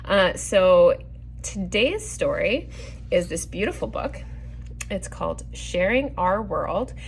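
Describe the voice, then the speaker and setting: A woman's voice: lowish voice, very animated, slow, vocal fry, slight background noise. Woman reading a children's story aloud. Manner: gently instructive, puts questions to the listeners. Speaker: children's story time host and reader. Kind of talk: reading a picture book aloud with asides to children.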